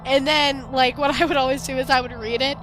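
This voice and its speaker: A young woman playing a text adventure game, reading its lines aloud and commenting in between. She is a little hoarse from a cold, laughs often and swears loudly when the game surprises her. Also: sits high, fairly even intonation, a little fast. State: hoarse, getting over a cold. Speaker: let's play gamer.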